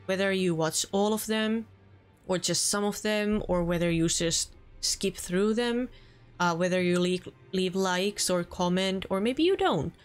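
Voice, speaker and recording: A woman's voice: mid-range voice, a little slow, soft background music. A young woman with a Finnish accent, chatting earnestly as she wraps up a let's play session from her home room. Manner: chatty and earnest, with small restarts. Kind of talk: casual gameplay commentary.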